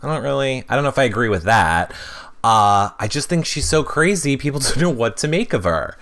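A man's voice: medium pitch, lively intonation, brisk, fairly bright tone. A man chatting casually as a podcast guest, giving opinions emphatically.

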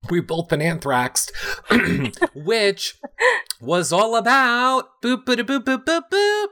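A man hosting a casual recap show, playful and animated, with sing-song asides. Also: extremely high pitch, slow. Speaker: podcast host.

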